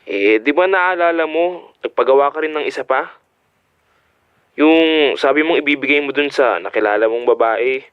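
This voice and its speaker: A man's voice: mid-range voice, normal speed, long pauses, smooth, no echo, dull audio, natural voice. A man voice-acting a hesitant, apologetic young friend on a call in a Filipino audio drama. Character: sheepish, apologetic young male friend on phone.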